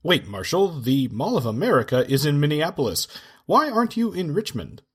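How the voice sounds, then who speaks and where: A man's voice: on the high side, very animated, moderate pace, breathy edge. A man playing a pompous, alarmist cable news anchor in a parody bulletin for radio drama. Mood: earnest. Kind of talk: parody breaking-news delivery.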